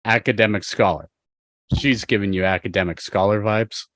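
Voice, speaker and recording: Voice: deep voice, steady tone, normal speed, fairly bright tone, no room sound. An actor playing an exasperated, reluctant young man who protests and stammers, in a fan table read over a video call. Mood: defensive, unsure. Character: exasperated, reluctant young male babysitter, defensive and flustered.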